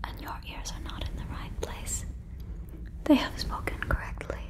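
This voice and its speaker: A woman voicing an earnest, protective alien in an ASMR roleplay, whispering breathily close to a microphone with halting, stilted phrasing. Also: lightly acted. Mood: curious, helpful. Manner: halting, stilted phrasing like a learner of human words. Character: earnest female alien, protective, gently convinced listener is a cow. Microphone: fluffy microphone, very close.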